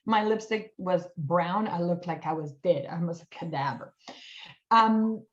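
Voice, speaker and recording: A woman in her forties from Colombia with a Colombian accent, a guest telling her story in an animated, self-mocking way over a video call. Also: on the low side, measured pace, dull audio. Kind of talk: storytelling in a casual online chat.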